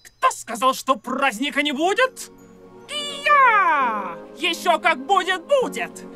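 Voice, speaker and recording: A woman voicing a brave, bossy cat hero who yells karate cries in a children's puppet show. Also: very high-pitched, huge pitch swings, slow pace, soft background music, full character voice. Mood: triumphant. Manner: shouting karate cries, barking.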